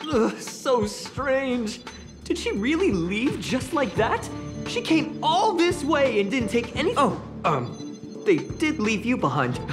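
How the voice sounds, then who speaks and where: A woman voicing an earnest, excitable young investigator in a sci-fi video game, expressively thinking aloud. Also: sits high, full character voice. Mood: surprised, baffled, then apologetic, downcast. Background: background music.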